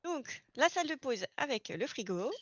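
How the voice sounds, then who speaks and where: A woman's voice: high voice, big pitch swings, moderate pace, a bit bright, dull audio, slight background noise. A woman informally giving instructions and showing someone around during an improvised online roleplay. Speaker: player acting a garage employee training a newcomer.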